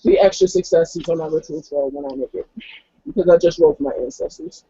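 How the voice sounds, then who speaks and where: A man's voice: fairly high pitch, very animated, fast, vocal fry, dark tone, slightly rough, no echo, lo-fi sound. A man playing a tabletop roleplaying game, chatting casually and describing what his character does.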